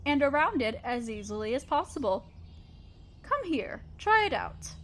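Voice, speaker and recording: A woman playing a gentle, motherly spider queen in a scripted audio roleplay, speaking tenderly and patiently to the listener. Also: high-pitched, a little hiss or hum, full character voice. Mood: excited, affectionate. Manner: tender, patient, softly explaining.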